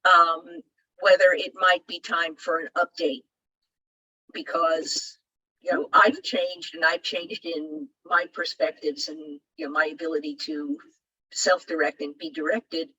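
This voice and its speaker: A woman casually asking a question on a video call from home, halting and tentative. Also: sits low, little pitch movement, pushed and bright, slightly rough, poor audio. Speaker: audience member asking a question.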